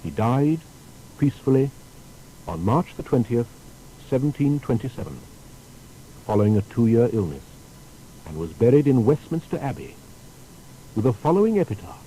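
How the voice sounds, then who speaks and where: A man's voice: mid-range voice, sing-song, moderate pace, long pauses, dark tone, slight background noise, low-quality recording. A man narrating a scripted biographical documentary with dramatic, emphatic phrasing.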